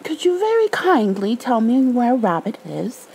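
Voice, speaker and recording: A woman's voice: fairly high pitch, huge pitch swings, measured pace, vocal fry, a little mellow, breathy edge, voice pushed up, full character voice, poor audio. A woman voicing a naive, friendly, hungry male toy bear from a children's storybook, simple and earnest. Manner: simple, earnest, humming to himself.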